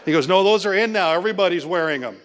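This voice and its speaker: A man from Hawaii performing a stand-up comedy routine with a dry, deadpan delivery and wry asides. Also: high-pitched, rapid-fire. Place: comedy club stage. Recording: live club stage with long reverb and audible crowd.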